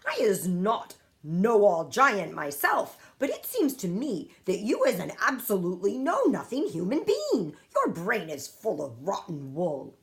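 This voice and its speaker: A woman acts out a very old friendly giant for a storybook, pompous and easily indignant. His pitch swoops wildly as he stretches out key words. His grammar is squiggly and muddled. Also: huge pitch swings, measured pace, hardly any pauses. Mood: contemptuous, grand.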